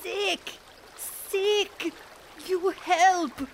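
A woman playing a desperate foreign village mother who pleads in halting, broken speech in an audio drama. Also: very high-pitched, steady tone, background noise, heavily acted. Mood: desperate pleading. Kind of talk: full-cast audio drama acting.

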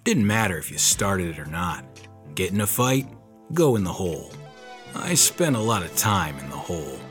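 A man playing a tough, sardonic ex-convict private eye in a hardboiled pulp audio drama, with deadpan delivery. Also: deep voice, normal speed, faint music behind. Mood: brooding. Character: tough, wry ex-convict private detective with magic.

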